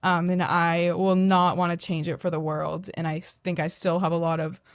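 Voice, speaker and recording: A young woman who is a podcast guest, talking in a loud, animated, self-deprecating way. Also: on the low side, normal speed, no echo, clean studio sound. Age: young adult. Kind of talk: candid podcast conversation.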